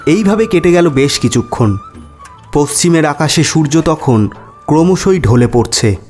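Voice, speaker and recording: Man, story narrator, measured dramatic delivery, animated intonation, slightly high voice, background music.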